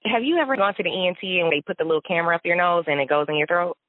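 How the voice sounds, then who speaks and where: A woman's voice: fairly low pitch, very fast, no room sound. A young woman chatting casually as a podcast co-host, telling clumsy stories with a lot of laughter. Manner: laughs often, animated storytelling. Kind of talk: casual chat. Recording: dry phone-like sound.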